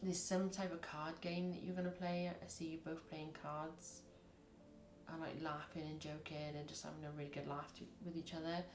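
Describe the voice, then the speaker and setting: Woman, husky, low pitched, chatty tarot reading, echoey room. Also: level tone, moderate pace, music in the background. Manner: rambling, chatty, acts out little scenes. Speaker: tarot reader. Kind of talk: casual tarot reading to camera.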